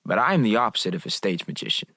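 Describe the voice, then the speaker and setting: An actor playing a wistful young son who narrates his memory play in a poetic, measured radio drama monologue, addressing the audience. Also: on the low side, sing-song, pushed and bright, no echo, studio quality, heavily acted. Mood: wry, lyrical.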